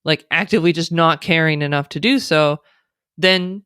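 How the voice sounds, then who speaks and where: A woman's voice: low-pitched, normal speed, a bit bright, clear, resonant tone, no echo, studio quality. An American woman, a diversity consultant, speaking as a guest in an interview with thoughtful, explanatory runs and fillers. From United States. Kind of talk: conversational interview.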